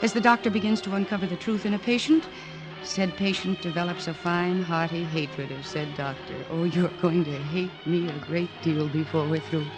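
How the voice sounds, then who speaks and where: A woman's voice: on the low side, fairly even intonation, normal speed, natural voice, low-quality recording. An actress playing a composed, probing female psychoanalyst in 1940s radio drama style. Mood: amused, cool. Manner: probing, clipped, insistent questioning. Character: composed, insistent female psychoanalyst, clinical yet affectionate. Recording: vintage radio drama with music behind.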